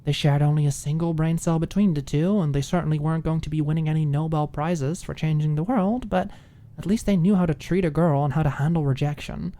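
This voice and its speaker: A woman reading a scripted fiction audiobook in an expressive, ASMR storytelling style. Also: sits low, a little fast. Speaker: audiobook narrator.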